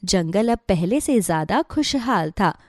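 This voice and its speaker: Animated woman narrating a children's story. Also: medium pitch, measured pace, no echo, clean studio sound.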